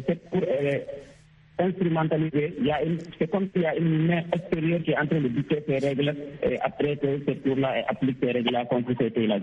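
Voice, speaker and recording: A man calling a radio show, giving a reasoned political opinion with hesitations and repeated phrases. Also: medium pitch. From Senegal. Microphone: phone. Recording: tinny phone line with clear noise.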